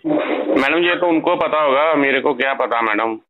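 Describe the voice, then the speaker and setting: A man's voice: mid-range voice, normal speed, vocal fry. A young man talking in a phone conversation, putting off a caller. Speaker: phone call recipient putting off a debt collector. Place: telephone call. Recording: tinny phone line with noise.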